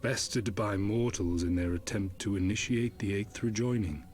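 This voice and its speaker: Man acting a low-voiced, solemn, ominous schemer. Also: steady tone, moderate pace, a little mellow, a little hiss or hum, close to an everyday voice. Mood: grave. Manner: portentous, formal archaic diction. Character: solemn, ominous, grave male dark overseer plotting balance. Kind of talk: scripted video game cutscene acting.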